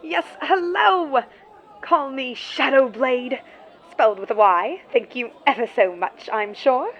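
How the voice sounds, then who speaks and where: A woman's voice: sits high, takes it slowly. A woman voicing a breezy, overly polite, eccentric young woman heard through a tinny, crackling communicator. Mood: eager, polite. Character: chirpy eccentric young woman speaking through a mechanical messenger insect. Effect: tinny, crackling communicator filter. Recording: muffled tinny filter over crowd noise.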